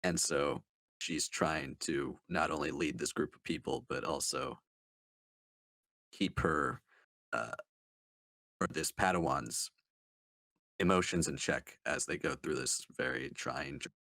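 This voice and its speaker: A man with a very low voice talks through a casual book review. His delivery is halting and hesitant, with sentences that trail off. The sound is dry and close. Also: creaky, bright, forceful tone, dry recording. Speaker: podcast panelist reviewing books.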